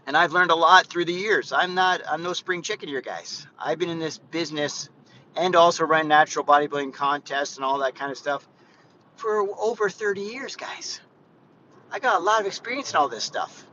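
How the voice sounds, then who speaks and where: Brief: man in his 50s, bright, higher voice, chatty rambling vlogger, dull lo-fi phone audio in a moving car with faint road noise. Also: moderate pace. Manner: rambling, chatty, frequent restarts and "guys". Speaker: fitness vlogger. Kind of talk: casual live vlog while driving.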